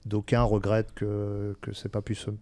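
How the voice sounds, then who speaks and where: Gravelly, mellow man explaining. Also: lowish voice, monotone, moderate pace, no room sound. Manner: hesitant, searching for words, candid. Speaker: senior local-government administrator, interview guest. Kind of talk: explanatory interview talk.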